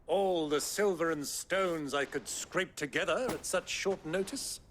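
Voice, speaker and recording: A man's voice: a little high, unhurried, fairly bright tone, a little hiss or hum. An actor voicing a crafty older British nobleman in a video game, with pompous, florid, sly diction. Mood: smooth bargaining.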